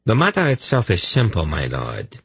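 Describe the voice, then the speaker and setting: A man's voice: low-pitched, wildly swooping pitch, moderate pace, a bit bright, husky, dry recording, heavily acted. A man voicing a strident, zealous priest inquisitor, rigid and accusing, in a fantasy audiobook. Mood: confident accusation.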